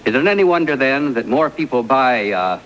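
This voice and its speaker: A man delivering a 1960s television commercial as a pitchman. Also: lively intonation, brisk, a bit bright. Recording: dull, lo-fi vintage broadcast.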